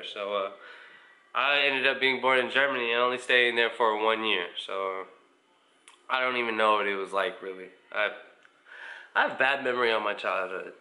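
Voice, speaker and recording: A man's voice: medium pitch, bright, forceful tone, rough recording. A man of about twenty with an American accent, chatting casually to camera as he answers viewer questions in a laid-back, informal way. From United States, mostly Indiana. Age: around 20. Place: small living room at home, indoors.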